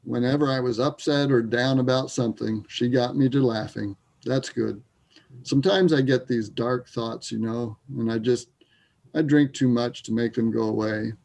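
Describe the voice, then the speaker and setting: A man playing a wry, troubled, grieving Canadian sports fan in a readers theater piece, with a gravelly voice. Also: mid-range voice, fairly even intonation, moderate pace, darkish tone, lightly acted. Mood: wistful, troubled.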